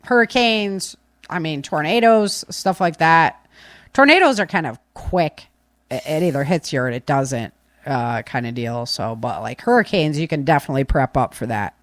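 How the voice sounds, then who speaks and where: A woman's voice: deep voice, animated, normal speed, no room sound. A woman hosting a podcast, chatting casually and giving practical tips in an informal, folksy, rambling way. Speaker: podcast host.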